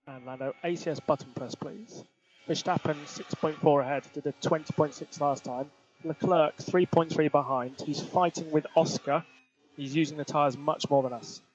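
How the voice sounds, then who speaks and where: A man's voice: a little high, a little hiss or hum. A man working as a race engineer, giving terse, matter-of-fact instructions to a driver over team radio. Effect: team radio transmission. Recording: muffled team radio channel.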